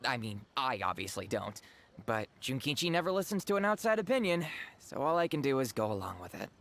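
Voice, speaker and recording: A man's voice: medium pitch, sing-song, brisk, fairly bright tone, a little hiss or hum. A man playing a pragmatic, exasperated book editor in a video game, blunt and correcting but reluctantly helpful. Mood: resigned. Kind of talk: animated game dialogue.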